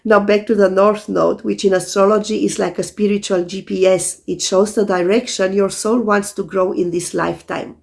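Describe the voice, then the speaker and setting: A hoarse woman, an astrologer, calmly explaining in scripted video narration while recovering from a sore throat. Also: mid-range voice, moderate pace, few pauses. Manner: calm, methodical explaining to viewers.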